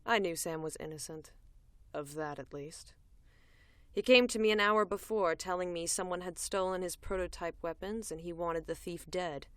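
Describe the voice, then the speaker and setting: Audio drama lead: tough, cool bounty hunter woman, played by an actress, fairly low register, dry wit, deadpan conversational delivery. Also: moderate pace, lightly acted. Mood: calculating. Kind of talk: audio drama, first-person narration and dialogue.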